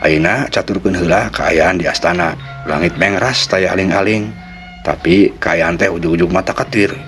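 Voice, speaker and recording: A male storyteller with a Sundanese accent tells a folk tale in dramatic narration. His voice is deep and low. Faint music plays behind him. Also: normal speed.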